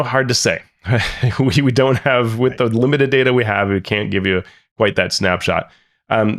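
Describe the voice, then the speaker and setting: A man's voice: lowish voice, creaky, fairly bright tone. A man co-hosting a podcast, explaining sales estimates step by step and checking in with "right?" as he goes. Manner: explanatory, walks through numbers with frequent "right?". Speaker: podcast co-host. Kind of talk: analytical podcast discussion.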